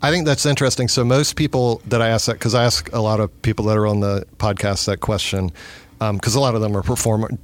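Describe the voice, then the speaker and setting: A man's voice: lowish voice, fairly even intonation, fast. A man hosting a podcast, interviewing a guest in a casual, rambling way with many fillers and restarts. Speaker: podcast host.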